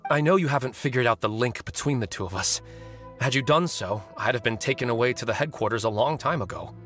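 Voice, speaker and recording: A courteous male murderer from an anime game, acted by a man, with a mid-pitched voice. He speaks in an articulate, deliberate, composed way over game music. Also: animated, fairly bright tone, natural voice. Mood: quietly bitter. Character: composed, polite male novelist confessing as a killer. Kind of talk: anime video game voice acting.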